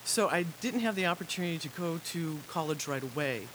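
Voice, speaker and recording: A woman's voice: sits low, lively intonation, fairly quick, fairly bright tone, rough recording. Reflective soprano woman, faint hiss. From Chicago, USA. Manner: reflective, with many fillers. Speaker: opera soprano guest. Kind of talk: interview storytelling.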